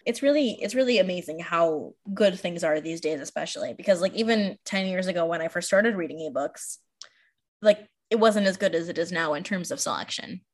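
A woman's voice: lowish voice, brisk. An American woman from Washington, D.C., chatting casually as a podcast host and librarian, with rambling, self-deprecating asides. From Washington, D.C., United States. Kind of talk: casual chat.

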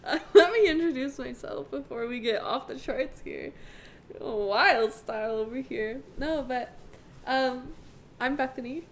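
A woman's voice: high voice, a little slow, slight background noise. A nineteen-year-old woman chatting casually in a playful, giggly, teasing way, as co-host bantering with her husband. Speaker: co-host introducing herself.

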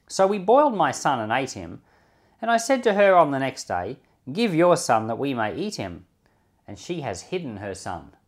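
A man speaking as a pastor and Bible podcast host, reading scripture expressively and commenting on it informally. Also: mid-range voice.

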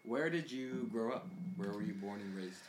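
A man's voice: on the low side, normal speed, echoey room, a little hiss or hum. A man hosting a podcast, asking curious questions and giving short backchannel replies. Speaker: podcast host. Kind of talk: casual interview questions.

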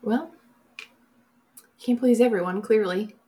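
A woman's voice: medium pitch, slow pace, dark tone. A woman co-hosting a casual film review chat, answering in dry, teasing retorts. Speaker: podcast co-host.

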